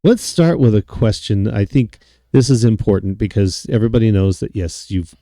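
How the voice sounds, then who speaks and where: A man hosting a casual podcast chat, his voice deep and raspy from a cold, rambling and self-mocking. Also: moderate pace, dark tone, no echo, clean studio sound. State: hoarse from a cold. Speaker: podcast host.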